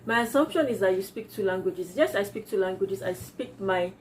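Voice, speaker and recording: A woman's voice: mid-range voice, lively intonation, fairly quick, slight background noise, rough recording. Chatty Nigerian woman vlogger, laughing often. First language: Yoruba. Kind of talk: casual vlog chat, reading viewer comments aloud.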